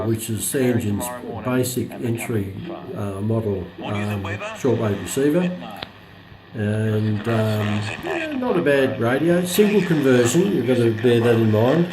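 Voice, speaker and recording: A man presenting a product review in a casual, unscripted way, explaining features in a hesitant, halting manner. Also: medium pitch, expressive, crowd noise, low-quality recording.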